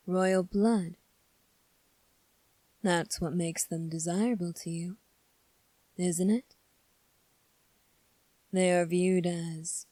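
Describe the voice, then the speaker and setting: A woman voicing a composed, coolly defiant young fantasy prince in an ASMR roleplay, speaking formally and deliberately with long pauses. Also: lowish voice, mellow, dry recording.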